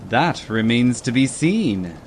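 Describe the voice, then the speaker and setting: Man playing boisterous, mocking caballero, lively pitch. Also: slow pace, a little hiss or hum. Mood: teasing. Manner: theatrical, exclamatory, teasing. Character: boisterous, mocking Spanish caballero, always ready to laugh. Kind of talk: dramatized audiobook dialogue. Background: ambient sea sound bed.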